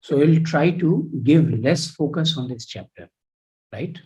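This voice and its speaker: A man with an Indian English accent teaches history in a live online class, speaking in a soft, low-volume voice. His pitch sits a little high for a man, and he talks in a measured, instructive way. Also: animated, dull audio. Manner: measured, instructive, reads out student comments.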